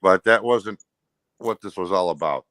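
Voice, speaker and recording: A man's voice: deep voice, moderate pace, fairly bright tone, no echo. A man being interviewed casually, explaining himself defensively in rambling, coarse everyday speech. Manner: rambling, peppered with "you know". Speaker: interview guest.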